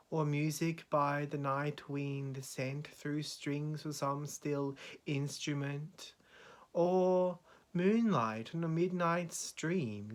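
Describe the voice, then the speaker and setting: Very slow, solemn male poetry reader. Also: on the high side, a bit bright. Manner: solemn, measured delivery with deliberate pauses. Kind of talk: dramatic poetry recitation.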